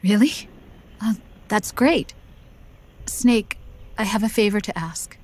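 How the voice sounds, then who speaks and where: An actress playing a gentle, troubled female counselor in a video game, soft, hesitant and earnest. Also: medium pitch, slow. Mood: concerned, hesitant. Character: gentle, troubled female counselor, anxious about former lover. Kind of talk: video game cutscene acting.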